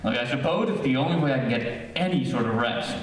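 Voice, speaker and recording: A man's voice: mid-range voice, expressive, fast talker, lightly acted. Man playing exasperated dad in echoey hall. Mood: weary, exasperated. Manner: grumbling, exasperated. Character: exasperated, worn-out father wanting a nap. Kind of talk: old-time radio comedy acting. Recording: very echoey hall, distant mic.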